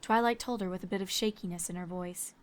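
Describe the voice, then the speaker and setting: A woman's voice: lowish voice, fairly even intonation, fast talker, a little hiss or hum. A woman reading scripted third-person narration for a fan fiction story. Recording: background music under the voice.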